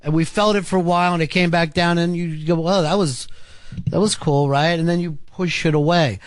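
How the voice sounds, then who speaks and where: A man with a somewhat high voice talks slowly through his thoughts, restarting often. He sounds earnest and searching. The recording is dry and close to a studio mic. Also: fairly even intonation, no echo. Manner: thoughtful, hesitant, many restarts. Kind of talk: casual radio chat.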